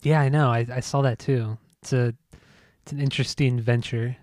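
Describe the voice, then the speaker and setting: A man's voice: medium pitch, steady tone, normal speed, darkish tone, no room sound, studio quality. A man co-hosting a podcast, chatting casually and swearing while joking with his friend. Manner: laid-back, swearing, joking banter. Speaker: podcast co-host. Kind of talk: casual chat.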